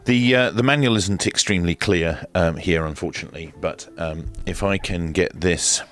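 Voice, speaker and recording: A man's voice: low voice, normal speed, fairly bright tone. A man presenting a casual hands-on radio equipment demonstration, explaining step by step in a methodical, hesitant way.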